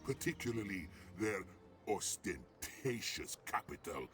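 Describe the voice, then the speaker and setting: An actor playing a haughty undead vampire pirate count, menacing and grandiose, in a pompous theatrical manner over game music. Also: male voice, sing-song, a little slow. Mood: grandiose menace. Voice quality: deep gravelly voice. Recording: game cutscene with loud music.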